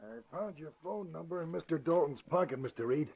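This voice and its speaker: An actor playing an uneasy, slangy working-class gym owner with a husky voice in old radio style. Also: male voice, fairly high pitch, animated, a little fast, exaggerated voice. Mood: uneasy, confiding. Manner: slangy, punctuates talk with "see". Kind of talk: 1940s radio acting. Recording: thin phone-like old radio sound.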